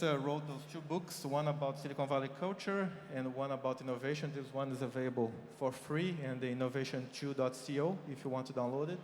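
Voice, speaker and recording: A man's voice: medium pitch, slight background noise. A man from Brazil with a Brazilian Portuguese accent, giving a conference keynote and explaining figures step by step with many fillers. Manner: explanatory, walks through numbers with frequent fillers. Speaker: keynote speaker. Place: large conference hall. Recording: roomy hall reverb with faint ambience.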